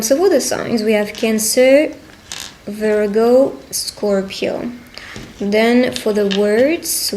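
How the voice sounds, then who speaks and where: A woman reading tarot directly to the listener, speaking in unhurried short phrases with a reassuring, affirming manner. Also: fairly high pitch, a little mellow, slight background noise, low-quality recording. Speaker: tarot reader hosting a roleplay.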